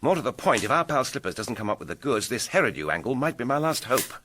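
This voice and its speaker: A man's voice: medium pitch, very animated, quick pace, a bit bright, slight background noise. A man playing a breezy, cheerful, wry adventurer detective in a tongue-in-cheek period radio drama. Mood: scheming. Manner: jaunty, wry.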